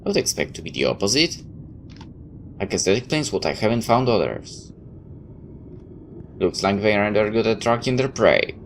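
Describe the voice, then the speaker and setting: A man reading a first-person story aloud in a dramatic, slightly halting, expressive way. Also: on the low side, a little hiss or hum. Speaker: video game player reading a visual novel aloud.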